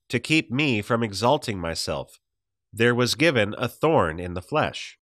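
Male audiobook narrator, unhurried pace, expressive pitch movement, deliberate pauses, scripted religious teaching. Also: no echo, clean studio sound.

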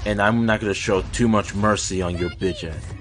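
A man's voice: fairly low pitch, a little fast, soft background music. A young man hosting a reaction video, exclaiming and laughing as he watches, recorded on a webcam in a small room. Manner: excitable, exclaiming and laughing at the screen. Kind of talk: live reaction commentary. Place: small indoor room with curtains.

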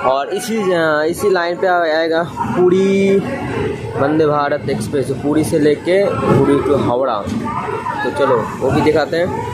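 Male travel vlogger, excitable and chatty, fairly high voice, phone recording, loud train rumble behind. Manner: chatty, excited, lots of fillers. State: just woken up. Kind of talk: casual train travel vlog. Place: on a train and railway platform. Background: loud train and station noise.

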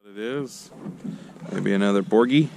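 A man's voice: fairly low pitch, a little slow, gravelly. Laid-back man on a noisy boat. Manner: laid-back, matter-of-fact fish calls. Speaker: angler on a fishing vlog. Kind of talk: casual chat while fishing. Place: small open boat offshore. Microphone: action camera on the boat. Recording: loud wind and engine noise.

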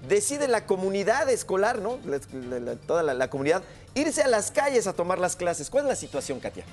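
Studio co-host, man, very animated pitch, chatty with restarts, light music behind. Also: a little fast. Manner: lively, conversational, hesitant restarts. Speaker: morning show co-host. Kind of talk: live news anchoring.

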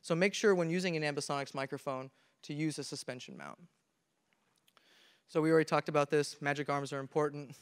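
A young man speaks into a handheld mic on a conference stage, earnest and methodical as he explains, often restarting mid-sentence. His voice sits a bit high and comes across smooth and resonant. The long reverb of a large hall hangs behind every phrase. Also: fairly quick. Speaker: conference presenter. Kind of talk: technical talk on stage.

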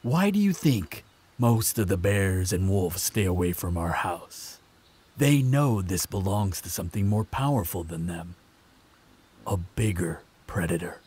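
Gravelly, rasping male vampire played by a man, protective and menacing, fantasy audio drama. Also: a little mellow, heavily acted. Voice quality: low, gravelly, husky. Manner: measured, threatening, sardonic. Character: proud protective male vampire, menacing, sardonic, fond of lover.

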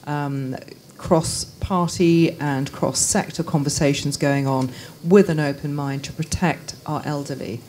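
A woman in her thirties makes her case on a conference panel. Her voice is very low for a woman. Faint noise sits behind the handheld microphone. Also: steady tone, a little slow, a little mellow. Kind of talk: earnest panel discussion. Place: conference function room, indoors.

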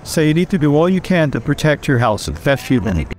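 A man's voice: on the high side, big pitch swings, a little fast, a little mellow. A man giving firm, cautionary advice to camera at an indoor event. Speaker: interviewee.

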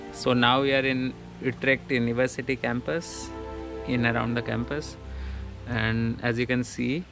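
Flat-voiced man giving a room tour. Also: mid-range voice, vocal fry. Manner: plain, matter-of-fact listing of features. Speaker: vlogger giving a house tour. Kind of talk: casual walkthrough narration. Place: small student apartment indoors.

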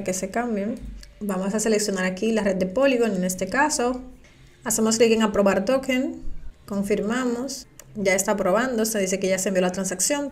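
A woman narrating a screen-recorded software tutorial, patiently explaining each step and speaking directly to her viewers. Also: mid-range voice. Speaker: crypto tutorial creator. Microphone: voice-over on screen recording.

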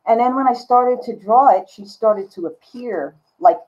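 An older woman with a husky voice, chatting casually and informally as an artist on a video call. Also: fairly high pitch, a little slow, highs cut off. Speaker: visual artist, guest. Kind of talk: casual chat explaining own artwork. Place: book-lined home studio, indoors. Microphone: computer mic on a video call.

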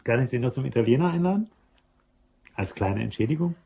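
Husky, fussy male boss. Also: medium pitch, expressive, brisk, mellow, muffled recording. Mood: businesslike. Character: fussy, impatient male store manager, curt boss. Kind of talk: German crime radio drama acting.

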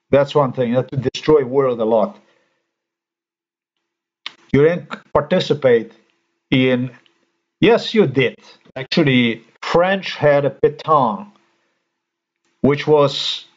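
Man, mid-pitched, angry halting rant, dull home-recorded sound. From Slovenia. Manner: indignant, halting, swears. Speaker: commentator. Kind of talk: ranting screen-recorded commentary.

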